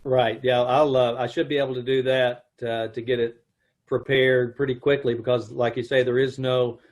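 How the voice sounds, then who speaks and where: Halting man explaining video editing. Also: medium pitch, level tone. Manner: halting, full of restarts and fillers. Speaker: video producer of the troupe. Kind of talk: casual chat. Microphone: video call.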